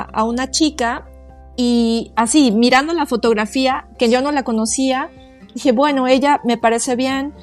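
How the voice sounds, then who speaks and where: A woman in her forties talks in a somewhat high voice, earnest and halting as she searches for words. Faint music plays beneath her. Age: 40s. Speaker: guest, quantum therapist. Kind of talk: casual interview conversation.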